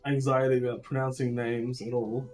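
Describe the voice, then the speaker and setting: A man's voice: mid-range voice, little pitch movement, moderate pace, a little mellow. A man chatting casually as a co-player in a group game playthrough. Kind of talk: casual banter. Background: background game music.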